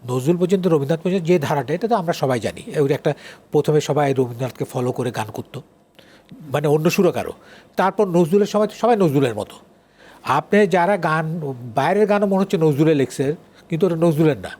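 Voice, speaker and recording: An older man with a Bangladeshi accent and a somewhat higher-pitched voice. He speaks in a casual interview style, explaining things conversationally and often checking that he is being followed. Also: fairly quick, darkish tone. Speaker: guest, song lyricist and writer.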